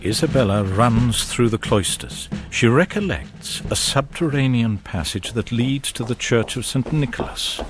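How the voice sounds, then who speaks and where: An actor playing a grave, watchful storyteller, narrating a gothic tale in a measured, atmospheric way. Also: male voice, medium pitch, animated, music in the background, lightly acted. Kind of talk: scripted dramatic narration.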